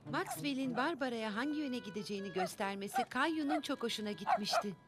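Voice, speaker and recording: A woman's voice: a little high, animated, brisk, natural voice. A woman playing a kindly storyteller, reading scripted narration for a preschool cartoon. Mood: storytelling. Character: kindly storyteller of a small boy's adventures. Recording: music under the narration.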